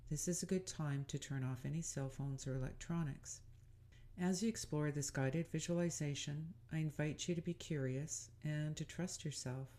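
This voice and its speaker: A woman leading a gentle guided meditation in a calm, soothing, unhurried and inviting way. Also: sits low.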